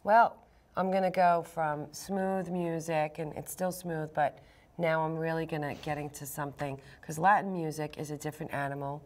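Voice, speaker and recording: A woman's voice: sits low, fairly even intonation, moderate pace, a little mellow. A woman hosting an interview in a TV studio, giving brief encouraging reactions. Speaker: host. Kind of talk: interview host. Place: TV studio set, indoors. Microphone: lapel mic.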